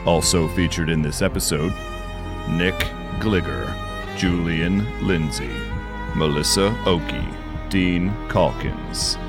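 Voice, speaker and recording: A man with an extremely deep voice reads credits as an announcer. His delivery is slow and scripted, set over loud music with strings. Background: loud music, violin.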